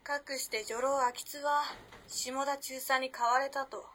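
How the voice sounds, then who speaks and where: A woman's voice: sits high, fairly even intonation, measured pace, a bit bright, slight background noise. A woman playing a self-possessed, teasing modern-girl storyteller in a radio drama, with breezy, ladylike phrasing. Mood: hushed storytelling.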